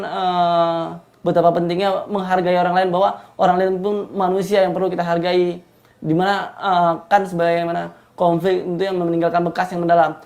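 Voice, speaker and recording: Radio guy, kinda high voice with lots of fry, pretty flat. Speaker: community radio announcer. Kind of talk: radio presenting and explanatory talk.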